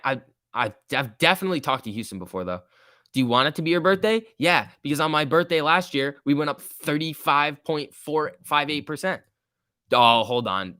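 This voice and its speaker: A man's voice: medium pitch, sharp tone, dry recording, studio quality. A man in his early twenties hosting a casual trading livestream, chatting informally and joking as he reads and answers viewer comments. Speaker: livestream host.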